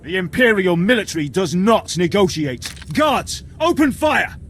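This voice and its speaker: Haughty, high-pitched Imperial officer. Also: male voice, very animated, measured pace, a bit bright, slight background noise, heavily acted. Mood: outraged, defiant. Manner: commanding, pompous, outraged. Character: indignant, haughty male Imperial military officer. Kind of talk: dramatic video game voice acting.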